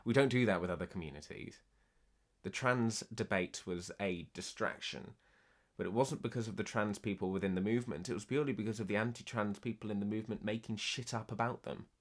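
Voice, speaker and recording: A man's voice: fairly low pitch, a little fast. A man, speaking as an activist in a documentary interview, emphatic, frustrated and blunt.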